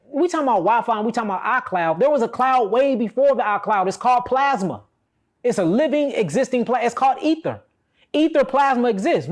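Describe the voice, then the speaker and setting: An American man giving an impassioned, conversational spiritual talk, explaining emphatically and checking in with his listener. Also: extremely high pitch.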